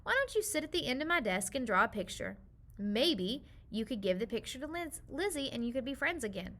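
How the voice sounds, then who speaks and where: A gentle mother calms her daughter in a storybook through a woman reader's voice. She sounds soothing and reasonable, with a bright, slightly high tone. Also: fairly quick.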